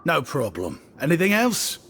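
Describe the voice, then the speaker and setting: A man's voice: a little high, wildly swooping pitch, normal speed, a bit bright, slight background noise, exaggerated voice. An actor playing a friendly young male shop assistant in a video game, eager and effusive, a little fawning. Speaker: shopkeeper's stand-in.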